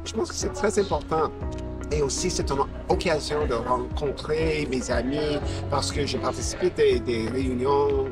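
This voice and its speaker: A man's voice: medium pitch, moderate pace, far from the mic. A man from Ontario, Canada, giving a spontaneous testimonial. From Sudbury, Ontario, Canada. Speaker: congress member. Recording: off-mic voice under loud music.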